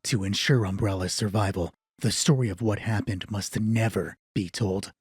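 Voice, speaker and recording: A man's voice: lowish voice, fairly even intonation, moderate pace, darkish tone, husky, no echo. A man voicing a cold, authoritative corporate commander who gives a mission briefing in a clipped, formal manner. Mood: cold, stern. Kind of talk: video game style mission briefing.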